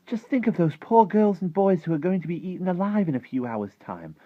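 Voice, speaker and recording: A man voicing a feisty, indignant, excitable young girl who argues insistently in a children's storybook. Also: high-pitched, a little slow, slightly rough, no room sound, narrow, tinny audio, voice pushed up, heavily acted. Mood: distressed, urgent. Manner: insistent, exclamatory, argumentative. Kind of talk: animated children's storybook character reading.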